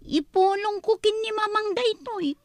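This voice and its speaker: A woman's voice: extremely high pitch, unhurried, no echo, studio quality. A woman acting exasperated, complaining women of a struggling family in a comedy drama, with an Ilocano accent. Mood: indignant.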